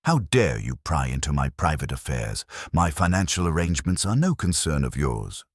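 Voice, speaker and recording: Sharp, proud lady, as a man reads her. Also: very deep voice, very animated, normal speed, breathy edge, no echo, clean studio sound, exaggerated voice. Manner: sharp, indignant. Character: proud, defensive aristocratic lady.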